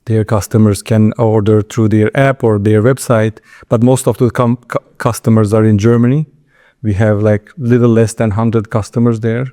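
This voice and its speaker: Low, mellow-voiced Turkish man. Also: little pitch movement, moderate pace. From Istanbul, Turkey. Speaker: interview guest, entrepreneur. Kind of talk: informal interview answers.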